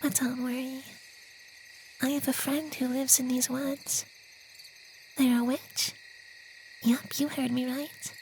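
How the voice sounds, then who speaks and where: Slow, high, whispery gentle vampire played by a man. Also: level tone, a little hiss or hum, exaggerated voice, low-quality recording. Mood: gentle, confiding. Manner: soft, breathy, half-whispered, slow and soothing. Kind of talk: ASMR roleplay.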